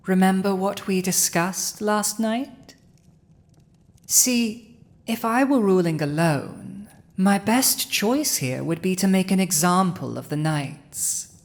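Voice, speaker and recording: Slow, low-voiced haughty dragon ruler played by a woman. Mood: calculating. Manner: smug, commanding, deliberate, with pauses. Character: haughty, cunning villainous dragon ruler in human form. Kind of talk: scripted roleplay audio drama addressed to the listener.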